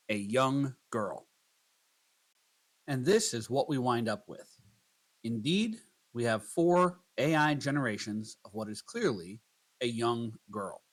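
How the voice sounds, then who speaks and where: A man narrating a scripted software tutorial, explaining each step in turn as a voice-over. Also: medium pitch.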